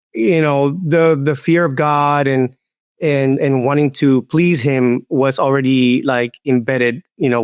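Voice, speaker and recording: A man in his forties from Puerto Rico, telling his story as a podcast guest in a halting way, with many restarts. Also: medium pitch, clean tone, no room sound, studio quality. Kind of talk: personal testimony interview.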